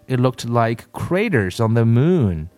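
A man's voice: medium pitch, very animated, takes it slowly, a little mellow, soft background music. A man voicing a curious, greedy little boy who is the younger brother, in a raised, wheedling voice.

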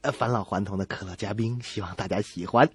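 A man's voice: on the low side, quick pace, breathy edge, dry recording. A man co-hosting a comedy radio show, telling scripted jokes with playful, exaggerated reactions.

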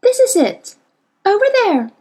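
Woman reader plays a worn-out scientist woman, high-pitched and clipped, skeptical, bouncing between highs and lows. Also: very animated, unhurried, mellow, full character voice. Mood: weary. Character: tired, brisk adult woman scientist.

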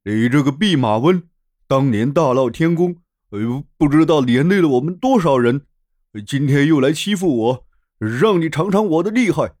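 A man's voice: fairly high pitch, lively intonation, moderate pace, a little mellow, natural voice. A man playing a boastful, oafish pig demon in a dramatized storybook, with a blustering, stammering delivery. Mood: blustering, angry. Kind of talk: dramatized storybook character acting.

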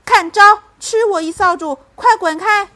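A woman's voice: on the high side, lively intonation, moderate pace. A woman voicing a young mother in a children's storybook, tender with her child and fiercely protective, by turns coaxing and commanding. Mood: fierce, roaring.

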